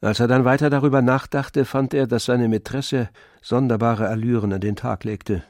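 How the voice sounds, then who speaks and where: An actor playing the all-knowing narrator of a literary tragedy in a radio drama, telling it in a measured, expressive way. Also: male voice, mid-range voice, no echo, studio quality, close to an everyday voice. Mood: matter-of-fact.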